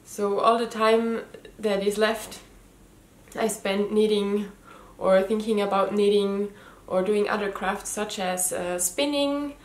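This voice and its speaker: A woman in her thirties with a slight Austrian German accent, speaking English as a second language. She hosts a home knitting podcast, talking straight to camera in a casual, hesitant way with plenty of ums. Her voice is mid-pitched and the room sounds noticeably echoey. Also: fairly even intonation, slow. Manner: hesitant, many ums and restarts. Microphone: camera mic, head and shoulders framing.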